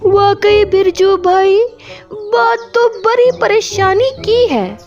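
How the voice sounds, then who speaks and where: A wise cartoon lady crow, put on by a female narrator, talks in a raised, very high voice. She gives sisterly, reassuring advice over light music. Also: lively intonation, slow, lo-fi sound, heavily acted. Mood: concerned, clever. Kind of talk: Hindi cartoon storytelling.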